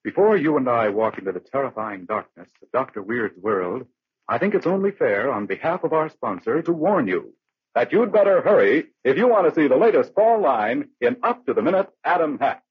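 A man's voice: mid-range voice, sing-song, moderate pace, husky, dry recording. A man announcing for a sponsor in the style of a 1940s radio advertisement. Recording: dull, dry old radio recording.

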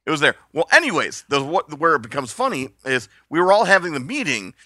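Male podcast co-host, bright and lively, playful anecdote teller, dry close mic. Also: a little high, fairly quick, dry recording. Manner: animated, laughs often, acts out quoted voices. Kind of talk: casual storytelling chat.

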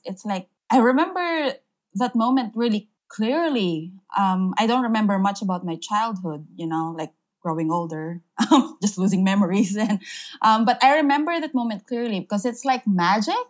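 Thoughtful Filipino woman writer. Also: medium pitch. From Philippines. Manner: thoughtful, many ums, laughs often. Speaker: guest writer. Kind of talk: casual interview chat.

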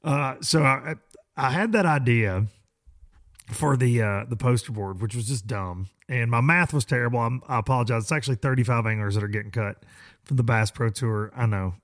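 A hoarse man with a drawl hosts a podcast. He talks at a middle pitch in a loose, folksy ramble, full of restarts. He often pokes fun at himself. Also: moderate pace, fairly bright tone. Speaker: podcast host. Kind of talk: casual rambling monologue.

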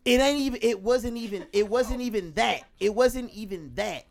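A man telling a story in a casual group chat, loud and emphatic, repeating his points. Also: high voice, normal speed, vocal fry.

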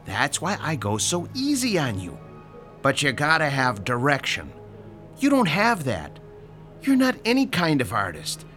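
A man's voice: fairly high pitch, wildly swooping pitch, unhurried, soft background music, heavily acted. An actor playing a pushy, sarcastic older landlord in a radio drama, lecturing his tenant about rent. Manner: sarcastic, lecturing, theatrical swings from shouting to hushed.

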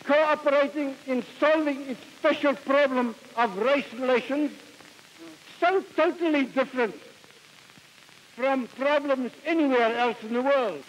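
Very high-pitched old newsreel announcer man. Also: unhurried, slight background noise, low-quality recording. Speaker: archive newsreel narrator. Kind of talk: formal old newsreel narration.

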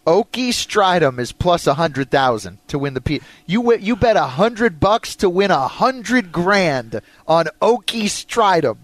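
A male sports radio host with a high-pitched voice, recorded dry and close. He chats casually and excitably, with a playful edge, moving between banter and sponsor reads. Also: a little slow, no room sound.

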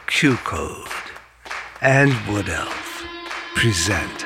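A man announcing show credits in a scripted, deliberate read. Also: fairly low pitch, very animated, crowd noise. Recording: loud music under the voice.

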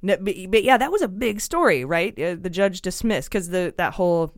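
A woman co-hosting a casual political podcast, sarcastic and quick to laugh, often restarting her sentences. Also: on the low side, animated, no echo, studio quality. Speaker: podcast co-host.

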